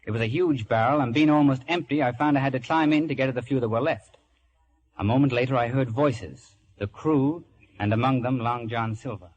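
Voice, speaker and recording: An actor playing the narrator who recalls a sea adventure, in scripted storytelling style. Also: male voice, medium pitch, fairly quick, dull audio, close to an everyday voice. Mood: reflective. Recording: old film soundtrack under music.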